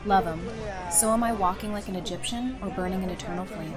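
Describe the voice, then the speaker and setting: A woman acting a brisk, casual woman leader in an audio drama. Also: medium pitch, music in the background, lightly acted. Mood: playful. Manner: casual, direct.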